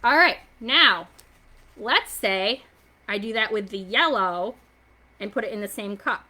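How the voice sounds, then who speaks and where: A woman hosting a children's story time, excitable and encouraging as she talks young viewers through a hands-on science demonstration in simple terms. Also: mid-range voice, measured pace.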